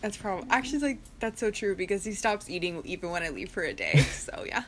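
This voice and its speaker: A woman's voice: medium pitch, very animated, a little fast, soft background music. A tipsy woman co-hosting a casual podcast chat, giggly and rambling, with "like" peppered through her sentences. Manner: giggly, rambling, heavy use of "like". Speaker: podcast co-host.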